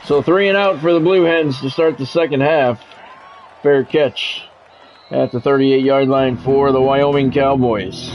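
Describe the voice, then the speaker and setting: A man's voice: mid-range voice, steady tone, measured pace. Male American sports commentator, clipped play-by-play with offhand asides, lo-fi audio over music. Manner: clipped announcer phrasing with casual asides. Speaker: play-by-play streamer. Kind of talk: live sports play-by-play commentary of a football video game.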